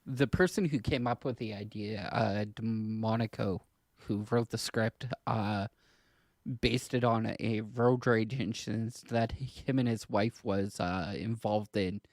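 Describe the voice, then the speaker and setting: A man co-hosting a podcast in casual discussion, speaking hesitantly in halting, trailing clauses. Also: fairly low pitch, steady tone, no echo. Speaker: podcast co-host.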